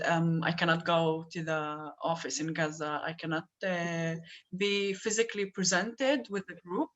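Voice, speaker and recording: Casting: twenties woman from northern Palestine, lowish voice, hesitant panelist on a call. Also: a bit bright, highs cut off. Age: 20s. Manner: hesitant, many fillers. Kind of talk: online event talk. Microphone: video call.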